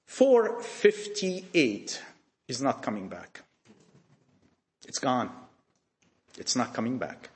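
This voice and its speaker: A man's voice: mid-range voice, wildly swooping pitch, takes it slowly, pauses often, darkish tone, roomy sound. A man preaching a sermon to young people, emphatic and full of rhetorical questions, often repeating phrases and restarting sentences.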